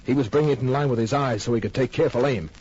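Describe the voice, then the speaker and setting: A man's voice: mid-range voice, very fast, noisy background, close to an everyday voice. An actor playing a wry, hard-boiled private detective who narrates his case in a laconic, cool way in an old-time radio crime drama. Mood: tense, tough. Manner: laconic, cool, clipped storytelling. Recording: muffled old radio recording with music.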